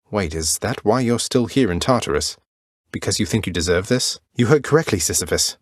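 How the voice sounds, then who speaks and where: A young prince of the underworld from a video game, speaks in a low, closely recorded voice. He is courteous and wry, with cheeky understatement and steady determination. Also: no room sound. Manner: courteous, dry, understated wit. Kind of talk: video game character voice lines.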